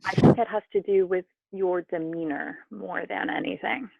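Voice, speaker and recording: An American woman, a podcast guest, chatting casually in a lively, eager, talkative way. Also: on the low side, normal speed, vocal fry, dry recording. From southern US. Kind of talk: casual chat. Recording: tinny phone-like call line.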